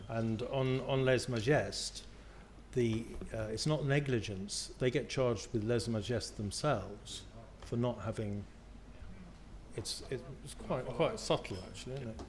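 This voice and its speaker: A man on a press panel talks about law in quick bursts, often repeating words. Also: medium pitch, a little hiss or hum. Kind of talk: panel discussion.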